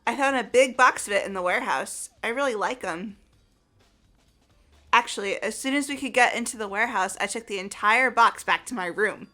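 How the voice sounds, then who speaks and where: A woman's voice: on the high side, fairly quick, sharp tone. A woman playing a perky, candid teenage girl in a voiced video game, with music underneath. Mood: candid, upbeat. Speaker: player voicing a game character. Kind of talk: voiced let's play, reading game script.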